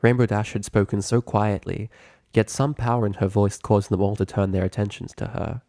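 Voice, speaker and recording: A man's voice: on the low side, fairly even intonation, moderate pace, dark tone, no echo, clean studio sound. A man reading a story aloud as narrator in a scripted narration style. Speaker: narrator reading fan fiction.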